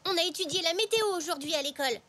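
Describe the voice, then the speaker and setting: A woman playing an eager, cheerful little boy zebra in a children's cartoon, excitable and curious. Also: very high-pitched, rapid-fire.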